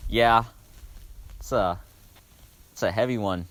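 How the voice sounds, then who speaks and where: A man's voice: fairly low pitch, takes it slowly, noisy background. A young man talking casually and bluntly about songs as a video host, swearing freely.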